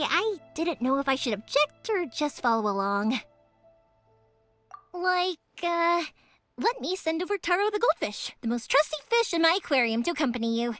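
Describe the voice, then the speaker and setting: A woman voicing a cheerful, chatty young woman in a video game, with a girlish, expressive, earnest delivery. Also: high-pitched. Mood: amused, delighted. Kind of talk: video game character voice lines. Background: background music.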